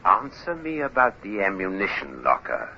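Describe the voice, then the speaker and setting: Paranoid sea captain, wildly swinging voice. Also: male voice, sits low, wildly swooping pitch, moderate pace, a little hiss or hum, exaggerated voice. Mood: suspicious. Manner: menacing, swings from hushed insinuation to barking. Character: paranoid, tyrannical older male navy captain. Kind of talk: old-time radio drama acting. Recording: dull, lo-fi old radio recording.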